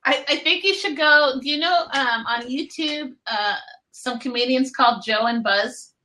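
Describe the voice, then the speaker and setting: Bright chatty woman on a podcast. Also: high-pitched, normal speed, a little hiss or hum, rough recording. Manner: chatty, laughs often. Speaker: podcast co-host. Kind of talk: casual chat.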